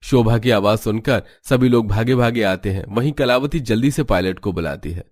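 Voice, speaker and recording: A man's voice: on the low side, moderate pace, darkish tone, no room sound, clean studio sound. A man delivering scripted narration as the storyteller of a cartoon tale.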